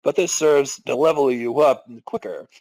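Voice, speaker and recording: A man's voice: medium pitch, normal speed, darkish tone, dry recording, rough recording. A man hosting and narrating a comic dramatic reading of a game story. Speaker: podcast host and narrator.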